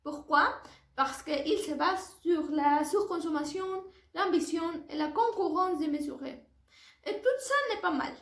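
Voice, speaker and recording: A young woman with a high voice and a Mexican accent, a native Spanish speaker. She delivers a prepared student presentation in a careful, formal way. The sound is noticeably echoey, as from a reverberant room. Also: a little slow. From Puebla, Mexico. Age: early 20s. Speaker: language student. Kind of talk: prepared oral presentation for a French class.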